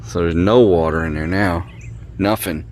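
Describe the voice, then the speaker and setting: Low male voice, folksy, offhand, casual vlog talk, slight background noise. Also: normal speed, a little mellow. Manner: folksy, offhand short remarks. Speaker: home repair vlogger. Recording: noisy handheld camera audio, background hum.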